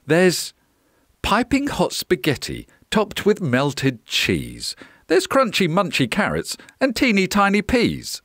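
A man's voice: a little high, a bit bright, no echo, studio quality, heavily acted. A man voicing a cheerful, caring storybook mum in a homely, sing-song way.

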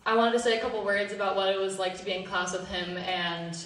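A high school girl giving an earnest prepared tribute speech at a school concert, with some hesitant fillers. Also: flat delivery, quick pace, a bit bright. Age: teens. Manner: earnest, reading from notes with fillers. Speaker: student speaker. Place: school auditorium. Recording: echoey hall, voice from far off.

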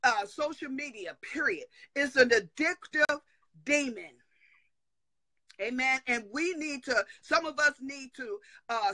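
A woman's voice: high-pitched, sharp tone, no echo. A middle-aged woman hosting a live stream, preaching with emphatic declarations and call-and-response asides. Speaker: host of a live prophetic talk stream. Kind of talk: fiery preaching-style talk. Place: indoor room at home. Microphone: webcam on a live video call.

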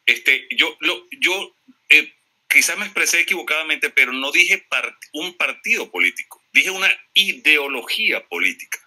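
A man's voice: a little high, animated, moderate pace, sharp tone, rough recording. A Venezuelan man in a political discussion, speaking hesitantly and apologetically, often repeating words as he corrects himself. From Maracaibo, Venezuela. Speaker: participant in a live audio discussion.

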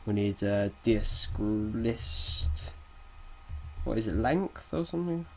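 Man, croaky from a cold, slow and hesitant, thinking aloud, keyboard typing in background. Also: on the low side, expressive, faint music behind. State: has a cold, slightly croaky. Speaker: game development tutor. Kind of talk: casual screen-recorded coding tutorial.

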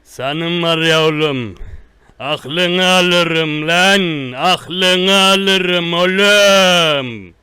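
Prank-call menace: man playing a boastful country tough guy, high bright voice, unhurried threats. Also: smooth, no echo, clean studio sound, full character voice. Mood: menacing. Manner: slow drawn-out threats, repetitive. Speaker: prank caller. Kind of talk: one-sided prank call.

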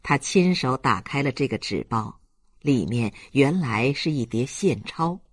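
A male audiobook narrator reads literary fiction with lively, varied intonation. His voice is husky and recorded dry and close. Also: mid-range voice, unhurried, no room sound. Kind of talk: dramatic literary narration of a novel.

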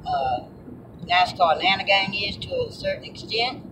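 High-voiced Southern man over loud road noise. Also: wildly swooping pitch, a little slow. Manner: folksy, colloquial. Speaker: vlogger. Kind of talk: casual rambling chat while driving. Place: inside a moving car on a road. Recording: loud car road noise, distant mic.

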